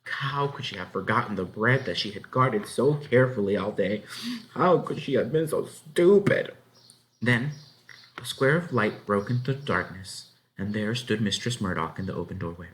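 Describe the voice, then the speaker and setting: A man with a fairly low voice narrates a children's story aloud. His delivery is dramatic and very animated, with pitch that swings widely. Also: normal speed, poor audio. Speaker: story reader. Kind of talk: expressive read-aloud narration of a children's novel.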